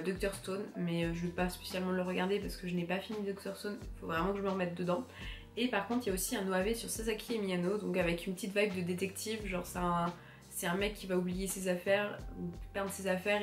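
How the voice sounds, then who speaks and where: A young woman with a cold and a stuffy nose, chatting casually to camera about what she plans to watch, with lots of fillers and restarts. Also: on the low side. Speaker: anime vlogger. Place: room with bookshelves. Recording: roomy echo, faint music behind.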